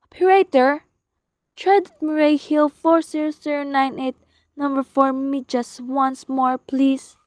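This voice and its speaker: A woman's voice: high-pitched, level tone, unhurried, a little mellow, dry recording. A woman playing an anxious, demanding invalid wife in a radio play, dramatic, fretful and pleading. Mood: urgent, demanding.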